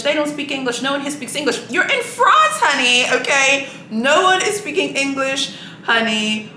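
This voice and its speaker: A woman's voice: fairly high pitch, sharp tone. A woman whose first language is Swahili, chatting casually to camera with many fillers and sassy asides. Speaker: luxury shopping vlogger. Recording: echoey room with clear background noise.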